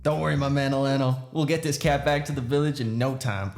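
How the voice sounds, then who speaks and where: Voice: mid-range voice, fast talker, a little mellow, faint music behind, lightly acted. A performer voicing a brash, dim but big-hearted young male oni gang boss in a comedic puppet show, with slangy, boastful bro talk. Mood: reassuring.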